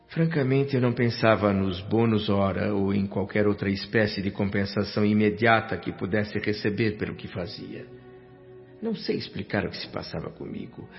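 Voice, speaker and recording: An actor playing an earnest, eager, humble newcomer in a Brazilian radio drama, speaking formally and expressively. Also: male voice, mid-range voice, lightly acted. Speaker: lead character and narrator of a radio drama. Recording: dull lo-fi radio recording, music behind.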